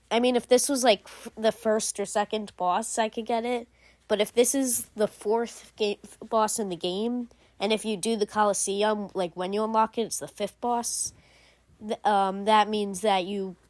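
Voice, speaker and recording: A woman's voice: medium pitch, dry recording. A young woman hosting a gaming channel, giving casual, rambling game commentary full of fillers and restarts.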